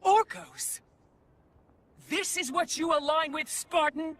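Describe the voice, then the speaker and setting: An actress playing a commanding, contemptuous female Fury in a video game, speaking in an imperious, drawn-out way. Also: high voice, fairly even intonation. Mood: furious contempt. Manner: imperious, scornful, drawn-out delivery. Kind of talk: dramatic video game villain acting.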